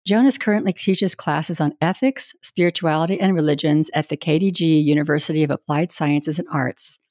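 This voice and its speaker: A woman's voice: sits low, brisk, a little mellow, no room sound, clean studio sound. A woman who is a reverend, hosting a spiritual podcast and interviewing a guest in an earnest, conversational way. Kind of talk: scripted intro then casual interview. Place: home in Wisconsin, USA.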